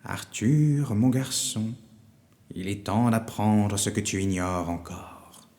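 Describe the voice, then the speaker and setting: Man putting on a slow, wise old wizard. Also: on the low side, darkish tone, big-room sound. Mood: fatherly. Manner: slow, measured, sententious. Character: wise old male wizard, commanding and teasing.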